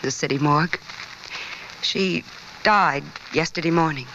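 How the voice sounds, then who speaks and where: An actress playing a gentle, worried woman at a sickbed, soft and earnest, in 1940s radio drama style. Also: sits low, measured pace, slight background noise, heavily acted. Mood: anxious, subdued. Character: gentle, worried woman at a wounded reporter's bedside. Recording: old muffled radio recording, music underneath.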